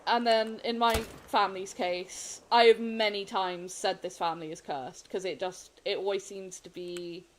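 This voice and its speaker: A woman streaming a game, sniffly, chatting candidly and rambling with frequent soft laughs. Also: mid-range voice, steady tone, moderate pace, hardly any pauses, low-quality recording. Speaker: gaming streamer. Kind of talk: casual chat while gaming.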